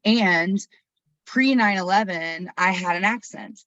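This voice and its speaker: A person of about forty from Texas, whose first language was Arabic, leading a workshop chat on a video call in an open, chatty way with quick asides. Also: medium pitch, sharp tone, no room sound. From Texas, USA. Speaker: workshop facilitator. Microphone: computer mic on a video call. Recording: dull, dry video call audio.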